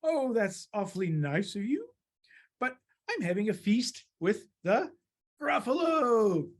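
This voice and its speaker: A storyteller voicing a small, clever, polite mouse in a children's story, teasing in drawn-out phrases. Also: mid-range voice, very animated. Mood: polite, confident.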